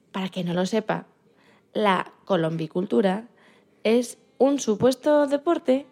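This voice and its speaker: A woman's voice: medium pitch, dark tone, close to an everyday voice. A woman voicing an optimistic rescued female pigeon, telling her story expressively for a children's radio programme.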